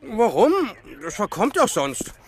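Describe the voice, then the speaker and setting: A man's voice: sits high, big pitch swings, measured pace, heavily acted. A man playing a cheeky, carefree, always hungry young boy in a children's audio drama.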